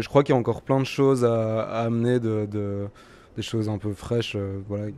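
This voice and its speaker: Hesitant young Belgian man, low voice. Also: warm tone. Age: 20s. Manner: hesitant, many fillers and restarts. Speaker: musician. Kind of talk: festival interview.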